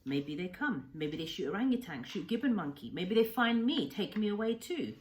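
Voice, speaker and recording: Woman voicing an old Japanese hermit, halting speech. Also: mid-range voice, sing-song, a little mellow, lightly acted. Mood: angry, pained. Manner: halting broken English in short simple sentences. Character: angry, sorrowful, gentle old Japanese island hermit. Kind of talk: storybook read-aloud character.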